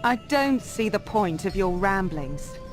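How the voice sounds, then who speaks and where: Defiant female captive: mid-pitched, dry, skeptical game character over clear music. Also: big pitch swings, measured pace. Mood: skeptical, reserved.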